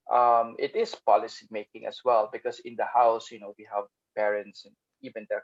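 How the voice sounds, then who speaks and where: A man with a mid-pitched voice speaks thoughtfully on an online panel, mixing Filipino and English. He often tags his points with "you know" and "no?". The audio is somewhat muffled. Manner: switches between Filipino and English. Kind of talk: academic panel discussion.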